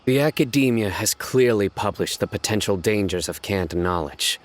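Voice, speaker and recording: A man's voice: lowish voice, animated, normal speed, natural voice. A man playing a grim, relentless young lawman in a video game, speaking in a curt, formal and commanding manner. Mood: stern.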